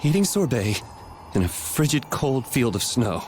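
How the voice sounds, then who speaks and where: Cool, slow-spoken young hero. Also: male voice, medium pitch, sing-song, a little mellow, a little hiss or hum. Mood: dry. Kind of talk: anime game voice acting.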